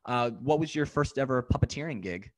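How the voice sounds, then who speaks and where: A man's voice: mid-range voice, animated, fairly quick. Young man hosting a nostalgia podcast. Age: young adult. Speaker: podcast host. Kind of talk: casual interview chat.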